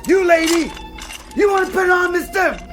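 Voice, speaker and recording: An actor playing a defiant condemned killer who taunts and shouts at the crowd around the gallows, over background music. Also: male voice, very high-pitched, moderate pace. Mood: taunting. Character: defiant condemned male killer facing hanging.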